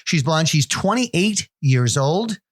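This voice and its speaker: A man's voice: on the high side, big pitch swings, takes it slowly, full character voice. A man playing a judgmental operator who describes dating profiles over the phone, hesitant and fussy, with many restarts. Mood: deadpan. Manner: hesitant, picky commentary with restarts.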